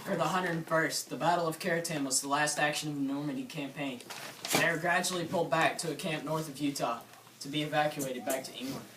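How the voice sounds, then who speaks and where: A man's voice: a little high, fairly even intonation, a little fast, lightly acted. A man playing an earnest American airborne soldier, recounting battles in an emphatic, declamatory theatrical style. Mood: somber. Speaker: actor in a war drama. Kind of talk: theatrical war narration. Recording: echoey room from far off, noisy.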